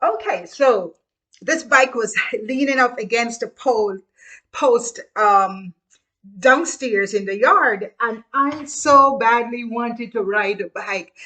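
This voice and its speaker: Guyanese woman, middle-aged, casual storyteller, bright and high-pitched, chatty with repeated phrases. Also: takes it slowly, poor audio. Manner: chatty, laughs while reminiscing, repeats phrases. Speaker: host.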